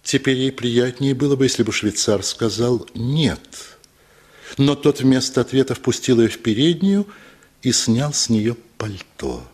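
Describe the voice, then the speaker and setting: Husky, expressive male storyteller. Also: mid-range voice. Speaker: narrator. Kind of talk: scripted literary narration in a radio play.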